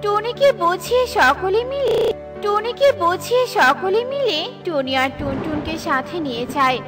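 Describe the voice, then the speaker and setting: High-voiced woman telling a children's story. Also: animated, unhurried. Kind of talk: scripted children's story narration. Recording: music behind the voice.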